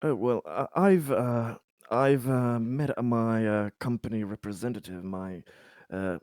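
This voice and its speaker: A man playing a polite, hesitant company man in a sci-fi tabletop game, speaking in halting, repetitive, formal phrases. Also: medium pitch, a little mellow, no room sound, studio quality, natural voice. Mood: hesitant, concerned. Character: Conrad, polite, hesitant, optimistic company man at a space colony. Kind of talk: tabletop roleplay in character.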